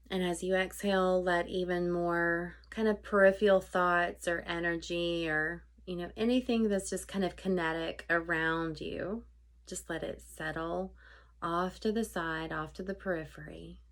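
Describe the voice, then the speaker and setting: Woman w a lowish voice doing guided meditation, unscripted and chatty, halting phrases that kinda trail off soft.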